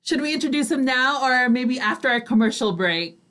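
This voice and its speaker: High-pitched woman, chatty radio co-host. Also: animated, brisk, fairly bright tone, a little hiss or hum. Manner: chatty, agreeable, frequent "like" and "you know". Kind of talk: casual chatty co-hosting.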